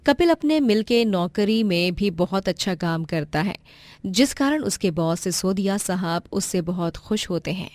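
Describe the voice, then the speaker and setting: A woman's voice: mid-range voice, animated, a little slow, a little mellow, dry recording. Woman narrating a moral bedtime story.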